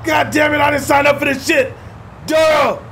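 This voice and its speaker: Irate police lieutenant: extremely high-pitched yelling, blustering, exasperated, music underneath. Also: male voice, flat delivery, normal speed. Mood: furious. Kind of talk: action parody radio play acting.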